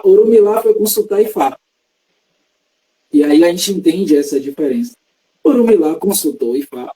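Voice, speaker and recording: A man's voice: on the high side, warm tone, breathy edge, poor audio. A man from Salvador, a religious practitioner explaining his tradition patiently in an interview. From Salvador, Bahia, Brazil. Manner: measured, teacherly, explains terms patiently.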